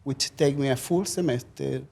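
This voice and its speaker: A man's voice: medium pitch, moderate pace, vocal fry, darkish tone, husky. A man from Chile with a thick Chilean Spanish accent, a native Spanish speaker, talking earnestly as a faculty panelist.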